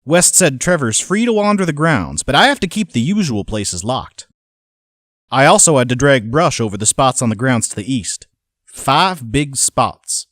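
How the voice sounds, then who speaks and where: A man acts as a working-class male groundskeeper telling his journal in an audio drama. He is colloquial and plainspoken, a little uneasy. His mid-pitched voice moves expressively, and the recording is bone dry. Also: sing-song, normal speed, a bit bright, dry recording. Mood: uneasy, matter-of-fact.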